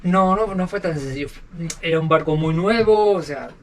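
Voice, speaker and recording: A man with an Argentine accent telling stories as a podcast guest, talking in a halting way and repeating words. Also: fairly high pitch, far from the mic, slight background noise. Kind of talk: casual storytelling interview. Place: aboard a sailboat.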